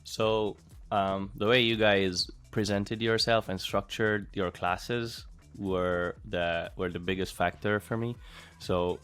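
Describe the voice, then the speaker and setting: Low, flat-voiced man. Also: takes it slowly, clean tone, faint music behind. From Hungary. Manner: thoughtful, with frequent fillers and restarts. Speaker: interviewee, former bootcamp student. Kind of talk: casual interview answers.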